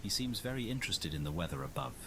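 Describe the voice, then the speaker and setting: A man playing a scientist who narrates a wildlife field study in measured, formal scripted documentary style. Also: on the low side, expressive, creaky, background noise. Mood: measured, informative. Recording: loud music under the voice.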